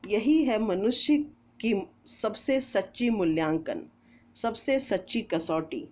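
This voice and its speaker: A woman storyteller reading a moral essay aloud in a measured, deliberate way, with pauses between phrases. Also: mid-range voice, expressive, a little mellow, lo-fi sound. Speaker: storyteller narrator.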